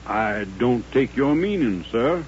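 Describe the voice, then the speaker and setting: Proud older colonel, calm and formal, mid-pitched, old radio western, muffled with faint hum. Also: male voice. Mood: polite, composed. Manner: formal, courteous, measured speech.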